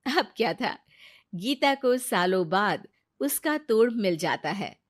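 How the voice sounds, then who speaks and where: A woman's voice: mid-range voice, animated, slow pace, no echo, studio quality. A woman narrating a scripted cartoon story.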